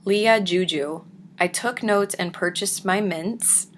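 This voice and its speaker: A young woman with a deep, raspy voice, chatting casually to her viewers at home and slipping into soft whispers. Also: slow, slight background noise. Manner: soft-spoken, drifts into whispers. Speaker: vlogger. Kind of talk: casual chat reading viewer comments.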